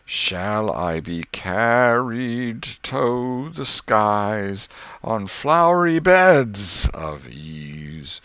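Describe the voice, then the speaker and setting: A man voicing a pompous small-town preacher in an audiobook, intoning a hymn theatrically with climbing, drawn-out emphasis. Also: lowish voice, very animated, fairly bright tone, clear, resonant tone, no echo, pitched above the performer's own voice, exaggerated voice. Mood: rapturous, declamatory. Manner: drawn-out, climbing then plunging, heavy emphasis.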